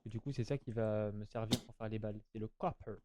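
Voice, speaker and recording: Gamer guy, soft mellow voice, slangy chatter to viewers, bit of noise n clicks behind. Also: fairly low pitch, fairly even intonation, fast talker. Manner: slangy, chatty, addresses viewers, repeats himself. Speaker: gaming YouTuber. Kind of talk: casual gameplay commentary to viewers.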